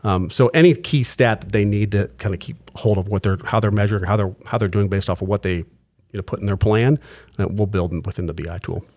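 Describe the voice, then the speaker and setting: A man's voice: lowish voice, quick pace, a little mellow. A man speaking as a podcast guest, explaining business practice with frequent restarts and tag questions like "right?". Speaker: client services executive, guest.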